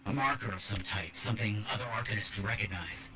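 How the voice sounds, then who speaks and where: A man's voice: lowish voice, brisk, noisy background, close to an everyday voice. A man voicing an earnest, intent scholarly researcher in a video game, with precise, formal phrasing. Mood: focused. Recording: loud music behind the voice. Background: game music.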